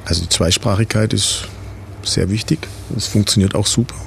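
Deep gravelly man, hesitant. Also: steady tone, normal speed, a little hiss or hum. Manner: hesitant, many fillers. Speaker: club representative. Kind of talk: casual interview answers.

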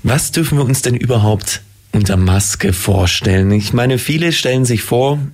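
A man's voice: mid-range voice, normal speed, darkish tone. A man hosting a casual interview, asking friendly, long-winded questions with small restarts. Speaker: interviewer, host.

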